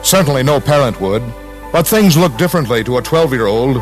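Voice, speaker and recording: A man narrates in the solemn style of a 1950s radio documentary. His pitch moves widely over measured, dramatic phrases, with faint music behind him on the vintage broadcast. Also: lively intonation, rough recording.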